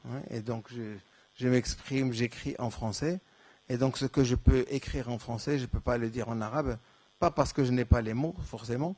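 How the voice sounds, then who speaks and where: A man's voice: mid-range voice, steady tone, moderate pace, a little mellow, breathy edge, dry recording. A man from Morocco whose first language is Darija, answering questions at a conference with many restarts and fillers. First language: Moroccan Arabic (Darija). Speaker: conference speaker. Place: lecture hall.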